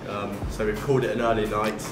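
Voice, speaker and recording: Man vlogging over loud music. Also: lowish voice, a little fast. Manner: excitable, chatty, addresses viewers as guys. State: losing his voice, jet lagged. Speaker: travel vlogger. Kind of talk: casual travel vlog. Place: outdoors in a city at night. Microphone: camera-mounted shotgun mic. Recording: loud music playing behind.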